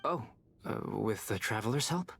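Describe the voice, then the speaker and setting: An actor playing a calm, courteous young alchemist in a video game, speaking in a measured, analytical way. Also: male voice, lowish voice, gravelly, slight background noise. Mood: thoughtful.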